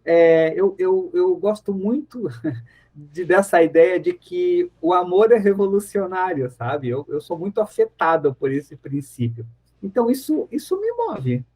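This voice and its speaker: A man's voice: high-pitched, very animated, a little slow, a little mellow. A Brazilian man speaking as a professor being interviewed on radio, reflective and conversational, often searching for words and repeating phrases. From interior of São Paulo state, Brazil.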